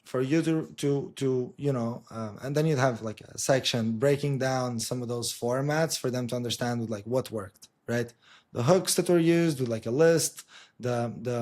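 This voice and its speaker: A man from his language talks through an informal explainer. He is blunt and emphatic, swears freely and keeps checking that the listener follows. His pitch sits in the middle range for a man. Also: darkish tone. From Portugal. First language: Portuguese. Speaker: marketing agency co-founder presenting.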